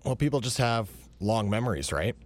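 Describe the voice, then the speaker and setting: A man co-hosting a radio talk show, explaining his points at length in a measured way. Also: on the low side, animated, dry recording. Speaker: radio co-host.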